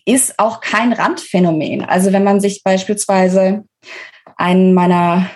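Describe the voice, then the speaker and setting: A woman's voice: mid-range voice, a little slow, darkish tone. A woman giving articulate explanations as an interview guest, stressing key words. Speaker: interview guest, book author. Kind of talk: explanatory interview talk on a podcast.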